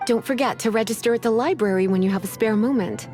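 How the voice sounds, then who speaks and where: A woman voicing a sultry, teasing librarian who speaks in a languid, gently threatening way, in a video game with music behind. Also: on the high side, fast talker, natural voice. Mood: sly.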